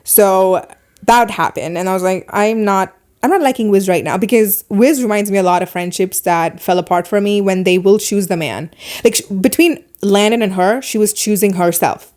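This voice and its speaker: A woman with a foreign accent hosting a podcast, talking through her opinions in casual chat, animated and fast in bursts. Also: medium pitch. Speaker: podcast host.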